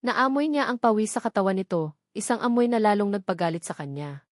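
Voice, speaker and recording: A woman's voice: medium pitch, lively intonation, fairly quick, no room sound, studio quality. A woman with a Filipino accent reads a scripted romance drama as a storyteller, in a dramatic narrating style.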